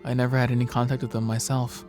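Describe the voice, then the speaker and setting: A man's voice: mid-range voice, little pitch movement, fast talker, over music. A man chatting and joking as he reads a visual novel aloud, often breaking off to restart. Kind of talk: casual gaming chat and read-aloud.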